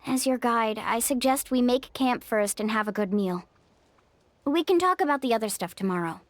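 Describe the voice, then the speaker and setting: A woman's voice: fairly high pitch, expressive, normal speed, a little hiss or hum, natural voice. A woman playing an upbeat, caring young tour guide in a video game, with a reassuring, confident manner.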